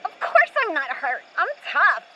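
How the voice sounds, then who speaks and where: A woman's voice: high voice, very animated, normal speed. A woman playing a plucky young girl, a tough big sister, earnest and childlike in a radio play. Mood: plucky, hopeful. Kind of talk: audio drama acting. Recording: muffled, faint background noise.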